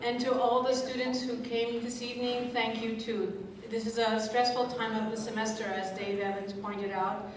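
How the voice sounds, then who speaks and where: A woman's voice: fairly high pitch, level tone, normal speed, hardly any pauses, heavy background noise. A woman emceeing a scholarship evening, projecting a big voice from a script to the audience. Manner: big projecting voice, speaks away from the mic; reads from a script with asides. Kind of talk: public speech to an audience. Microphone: stage mic kept at a distance. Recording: echoey room, distant mic, crowd ambience.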